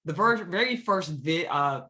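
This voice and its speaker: A woman's voice: fairly low pitch, expressive, unhurried, bright, forceful tone. A middle-aged woman from Hawaii giving an enthusiastic webinar talk over a video call, often restarting her sentences. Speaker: guest speaker. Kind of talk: webinar presentation.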